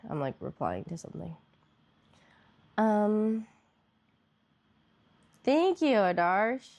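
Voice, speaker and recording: Stuffy nosed young woman streaming, rambly casual chat, kinda low voice. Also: darkish tone. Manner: rambling, lots of fillers. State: congested, nasal.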